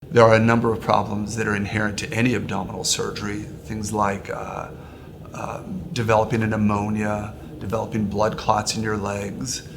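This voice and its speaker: Male surgeon explaining off the cuff: monotone, gravelly, clearly noisy ambience. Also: fairly low pitch, normal speed. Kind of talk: unscripted explanation. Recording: clear ambient background noise.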